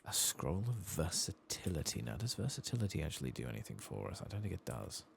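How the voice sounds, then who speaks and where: A British man giving soft-spoken, casual ASMR game commentary in a hushed voice, muttering to himself close to the mic. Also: sits low, very animated, quick pace, vocal fry, slight background noise. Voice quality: soft, hushed, at times whispered.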